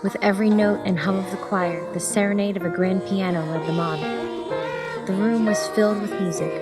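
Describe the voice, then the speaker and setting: A woman's voice: medium pitch, steady tone, fairly quick. Woman narrating an audiobook over music.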